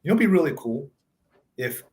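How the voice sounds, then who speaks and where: A man's voice: fairly high pitch, sing-song, fairly quick, a little mellow. A man in his thirties, born in Taiwan and raised in South Africa, telling his story as a startup founder in a casual interview, chatty with frequent fillers. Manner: conversational, many fillers and restarts. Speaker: tech startup CEO, guest.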